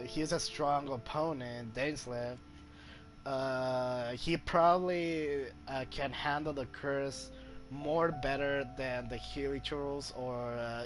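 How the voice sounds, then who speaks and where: Hesitant man commenting on a game, mid-pitched. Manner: hesitant, thinking aloud, repeats himself. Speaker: gaming video host. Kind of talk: casual game playthrough commentary. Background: game music.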